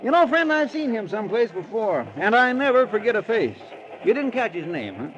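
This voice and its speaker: A man's voice: high voice, very animated, a little fast. An actor playing a brash, chummy traveling salesman in an old radio drama, talkative and folksy, laughing easily and getting over-familiar. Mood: boisterous, nosy. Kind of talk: 1940s radio acting. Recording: dull old radio recording, crowd bustle behind.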